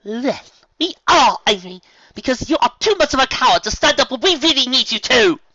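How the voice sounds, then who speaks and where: A reader voicing a haughty fashionable mare who yells cruel insults. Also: high-pitched, expressive, a little fast, no echo, highs cut off, voice pushed up, heavily acted. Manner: yelling, growling insults.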